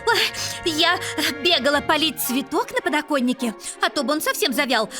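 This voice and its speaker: A silly little teacup in a children's fairy tale. The voice is tiny, very high and childlike, bouncing excitably up and down. Music is clearly audible behind. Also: female voice, normal speed. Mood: chatty, excited. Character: small silly girlish teacup, giggly and chatty. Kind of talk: bedtime puppet-theatre fairy tale acting.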